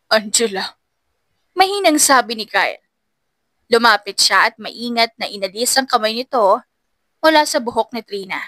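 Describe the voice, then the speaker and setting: A female audiobook narrator with a fairly high, bright voice. She reads a story dramatically, recorded close and dry. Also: animated, moderate pace, no echo.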